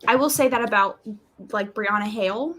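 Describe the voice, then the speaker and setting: A woman's voice: medium pitch, normal speed, fairly bright tone. A woman co-hosting a live readalong show, talking casually and informally about books. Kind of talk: casual book chat.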